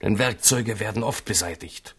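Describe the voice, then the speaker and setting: A man's voice: lowish voice, moderate pace, a bit bright, slightly rough. An actor playing a young king's loyal, scheming companion, insistent and rhetorical, in a radio play. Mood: persuasive. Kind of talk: 1970s radio play acting.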